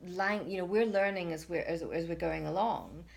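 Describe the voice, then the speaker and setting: Host, middle-aged woman, low gravelly voice, hesitant and reflective. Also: normal speed. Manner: hesitant, many restarts and fillers. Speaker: interview host. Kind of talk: reflective conversational chat.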